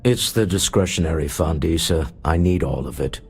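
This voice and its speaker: An actor playing a guarded, gruff executive husband in a video game, husky-voiced, curt and businesslike. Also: male voice, very deep voice, normal speed, full character voice.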